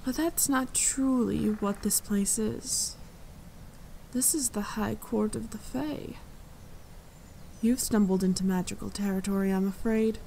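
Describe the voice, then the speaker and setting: Slow, creaky regal fae woman played by an actress. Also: medium pitch, fairly even intonation, a little hiss or hum, low-quality recording. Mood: composed, wry. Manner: measured, composed, gently condescending to the listener. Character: tall, regal fae court leader, dryly amused, protective. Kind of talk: slow, intimate ASMR fantasy roleplay. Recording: forest ambience with birdsong. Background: birdsong and forest sounds.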